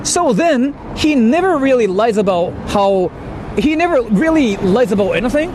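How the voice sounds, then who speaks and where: A man's voice: extremely high pitch, sing-song, moderate pace, noisy background. A man livestreaming a casual rant, incredulous and exclamatory, swearing often as he reacts to criticism. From Japan. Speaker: livestreamer and YouTuber.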